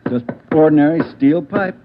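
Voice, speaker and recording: An actor playing a dry, wry police lab technician in a 1940s radio drama, brisk and matter-of-fact. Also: male voice, on the high side, noisy background, lightly acted. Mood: businesslike. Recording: tinny, narrow-band 1940s radio recording.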